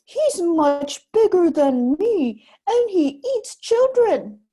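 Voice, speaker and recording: A woman's voice: extremely high pitch, sing-song, slow, dark tone, voice pushed up, full character voice. A woman voicing a storybook giant's wife, a big bossy woman who scolds in exaggerated swoops. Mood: warning.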